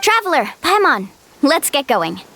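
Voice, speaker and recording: A young female guide from a game, with a high, youthful voice. She is earnest and sure of herself, sincere and determined. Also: sing-song, a little slow, pushed and bright, a little hiss or hum, exaggerated voice. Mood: insistent. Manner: earnest, self-assured. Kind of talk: video game voice acting.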